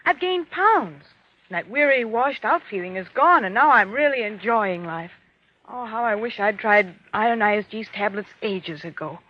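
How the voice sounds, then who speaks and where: A woman's voice: mid-range voice, lively intonation, normal speed, natural voice. An actress playing an earnest young lady in a theatrical 1940s radio advertisement. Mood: elated. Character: earnest young lady giving a tonic testimonial. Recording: muffled old radio recording.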